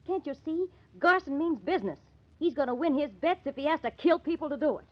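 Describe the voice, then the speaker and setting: Cynical, scolding American dame, fairly high voice, sarcastic slang, dull vintage radio crime drama. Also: female voice, animated, fairly quick. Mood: sarcastic, warning. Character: pitcher's sharp-tongued, cynical, pleading girlfriend. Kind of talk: old-time radio crime drama acting. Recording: dull old-time radio recording.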